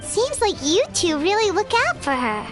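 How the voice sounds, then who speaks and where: A woman voicing a tiny, perky, childlike fairy companion in a video game, squeaky and encouraging. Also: big pitch swings, moderate pace. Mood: curious, impressed. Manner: perky, speaks of herself in third person. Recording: music behind the voice. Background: music.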